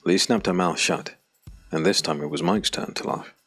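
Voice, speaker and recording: Very deep, gravelly male narrator. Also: wildly swooping pitch, normal speed, a bit bright, faint music behind. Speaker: audiobook narrator. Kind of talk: scripted dark fantasy audiobook narration.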